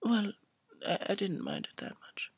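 A man in his twenties telling a comic story to camera as a vlogger, halting and mock-emotional, swelling and hushing, close to a webcam mic in a small room. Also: high voice, very animated, vocal fry, slightly rough, no echo. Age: 20s. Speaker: video blogger. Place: small furnished room indoors. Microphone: built-in webcam mic up close.

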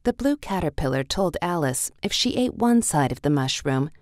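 A woman's voice: low voice, big pitch swings, normal speed, darkish tone, dry recording, clean studio sound. A woman narrating a children's read-along storybook in a scripted, story-reading style.

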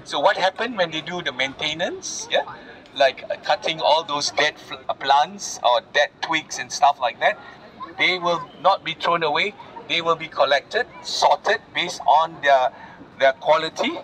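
A man's voice: fairly high pitch, fairly bright tone. A man guiding a walking tour, explaining to a group and often checking in with short tag questions. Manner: explanatory, often checks in with "yeah?" and "all right?". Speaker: tour guide. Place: outdoors in a public garden at night. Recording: noisy outdoor phone recording.

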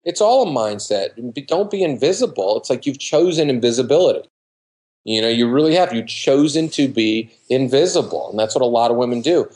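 A man's voice: mid-range voice, expressive, brisk, darkish tone. Emphatic, casual man in his fifties. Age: 50s. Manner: emphatic, colloquial, repetitive, acts out quoted remarks. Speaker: dating coach, interview guest. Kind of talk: casual advice in a video interview. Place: living room at home, indoors. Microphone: computer mic on a video call.

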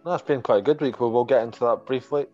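A young man co-hosting a casual podcast over a video call, steady and moderating, keeping the show moving. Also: medium pitch, fast talker, muffled recording, faint music behind. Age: young adult. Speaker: podcast co-host. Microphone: laptop webcam on video call.

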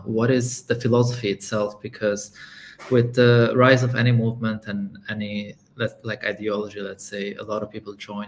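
Low gravelly man from Lebanon. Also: a little hiss or hum. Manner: calm, earnest storytelling with frequent ums. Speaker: guest speaker. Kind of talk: online talk with slides. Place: home room with bookshelves. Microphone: video call webcam mic.

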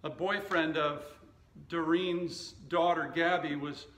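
A male pastor in his fifties delivers a sermon in an echoey room. His voice sits a little high for a man, and he moves very slowly, setting out each short phrase with deliberate care. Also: steady tone, a bit bright. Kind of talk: sermon with scripture reading and anecdotes. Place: indoor church stage at a wooden lectern.

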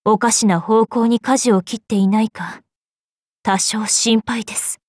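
A woman's voice: a little high, fairly even intonation, a little slow, darkish tone, no echo, clean studio sound. A woman playing a composed, stern yet motherly fairy queen in an anime game, speaking formally and with dignity. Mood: composed concern.

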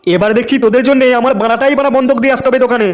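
A man's voice: very high voice, steady tone, racing, slight background noise. A man voicing a foul-mouthed, irritable cartoon father who scolds. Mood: angry, mocking. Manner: cursing, scolding, exasperated. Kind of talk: comic cartoon dubbing.

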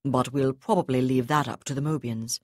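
A male navy commodore , read by a woman, speaks with icy, clipped precision and open disdain. His tone stays calm and controlled. The voice sits low and the recording is dry. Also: fairly quick, dry recording.

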